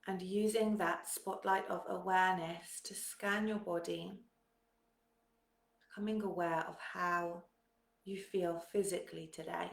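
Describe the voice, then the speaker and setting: A woman guides a meditation very slowly, with generous pauses between phrases. Her voice sits fairly low and has a creaky edge. She sounds calm and soothing as she gives gentle instructions. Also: roomy sound.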